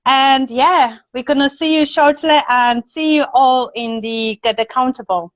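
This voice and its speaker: Female live stream host, Polish accent, higher pitch, effusive casual chat, muffled roomy audio. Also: measured pace. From Poland. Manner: effusive, chatty, frequent tag questions. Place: indoors, on a video live stream. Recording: dull, roomy video call audio.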